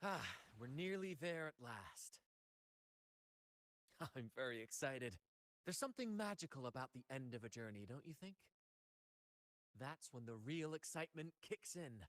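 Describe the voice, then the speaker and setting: A man's voice: mid-range voice, no echo. A man playing a flamboyant, confident young pirate adventurer in a video game, speaking in a theatrical, teasing way. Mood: excited. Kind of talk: video game character acting.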